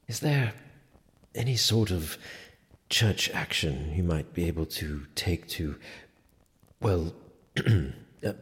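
A wry, low-voiced medieval sheriff. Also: male voice. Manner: wry, halting, formal wording. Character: smug, teasing medieval sheriff, awkward in love. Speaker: actor in an audio drama. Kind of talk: dramatic audio drama acting. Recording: long reverberant hall.

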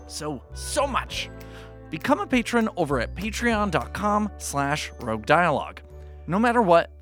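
Lively man reading podcast credits over music. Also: high voice. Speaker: sound designer and podcast credits announcer. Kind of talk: informal podcast outro, addressing listeners. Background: faint music.

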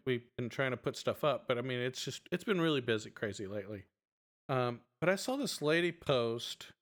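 Middle-aged guy with a strong, smooth voice, chatty podcast host rambling through stories. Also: mid-range voice. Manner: animated storytelling, lots of fillers. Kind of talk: casual chat.

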